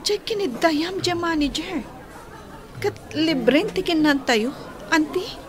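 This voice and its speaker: A woman's voice: extremely high pitch, lively intonation, slow, a crowd in the background, full character voice. A woman playing a naive young niece with a Filipino accent in a radio drama, exclaiming and deferential. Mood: shy, curious. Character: naive, easily astonished young niece short of money.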